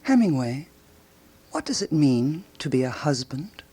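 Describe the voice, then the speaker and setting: Husky, inquisitive intellectual woman. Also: low voice, sing-song, mellow, full character voice. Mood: probing. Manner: musing, probing, deliberately questioning. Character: Gertrude Stein, inquisitive, self-assured intellectual woman. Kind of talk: radio drama acting.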